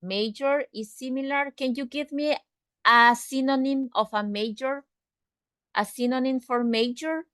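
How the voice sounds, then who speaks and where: Brief: woman English teacher, slow and patient, repeats words, dry close sound. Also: sits high, a bit bright, clean tone, no echo. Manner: asks students direct questions. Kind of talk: online English class.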